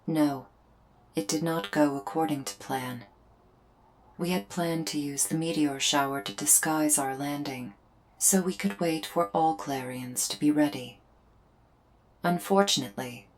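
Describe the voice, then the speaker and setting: Low-voiced, solemn alien woman, acted by a woman. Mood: grave, measured. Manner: measured, formal, deliberate phrasing with pauses. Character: solemn female alien invader explaining herself to a human. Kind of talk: scripted ASMR audio roleplay. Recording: music behind the voice. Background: music.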